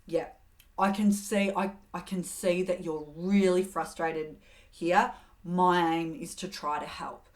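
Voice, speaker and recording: A middle-aged woman hosting an advice show, coaching in a steady, earnest way and acting out example phrases. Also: on the low side, takes it slowly. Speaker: host giving communication advice. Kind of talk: advice talk to camera.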